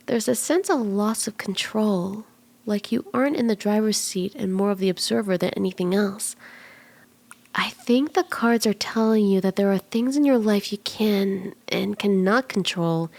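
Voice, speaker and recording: A woman voicing a flirty, soothing kitsune fortune teller in an ASMR roleplay, speaking gently and coaxingly, at times in breathy whispers. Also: medium pitch. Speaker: tarot reader.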